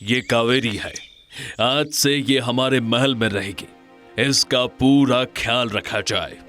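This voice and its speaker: A man playing an earnest, authoritative Indian king in a cartoon folk tale, speaking formally and deliberately. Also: mid-range voice, fairly bright tone, faint music behind. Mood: calm, caring. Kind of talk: dramatised cartoon storybook dialogue.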